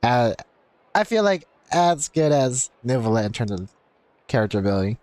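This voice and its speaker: A man's voice: mid-range voice, very animated, moderate pace, a little mellow, no room sound. A man chatting casually on a game livestream, explaining himself and circling back over his points. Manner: repetitive, explanatory. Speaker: game streamer. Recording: game music behind voice.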